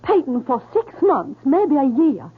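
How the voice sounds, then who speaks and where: An actress playing an anxious young sweetheart, earnest, emotional and pleading, in an old radio drama. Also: female voice, high voice, big pitch swings, measured pace. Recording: tinny old radio broadcast.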